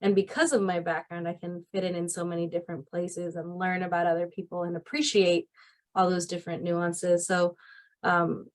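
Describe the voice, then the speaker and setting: Woman panel guest, thirties, low and even-toned, reflective with many fillers. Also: moderate pace. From Argentina. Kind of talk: casual panel talk.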